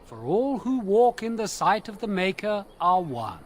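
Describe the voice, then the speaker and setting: Slow, high-voiced pious chanter. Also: male voice, big pitch swings, a little hiss or hum. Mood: solemn. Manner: declamatory, formal. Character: pious male chanter reciting holy verse, solemn. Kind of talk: video game scripture recital.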